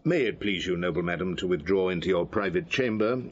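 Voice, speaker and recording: Husky low-voiced cardinal, courtly and solemn, shakespeare radio play, slightly muffled. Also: male voice, brisk. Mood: solemn, conciliatory. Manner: formal, ceremonious. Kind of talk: Shakespearean radio drama acting.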